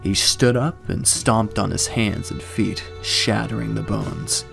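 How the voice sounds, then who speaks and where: A man narrating scripted fiction in a measured, dramatic storytelling way. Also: lowish voice, vocal fry, fairly bright tone, faint music behind.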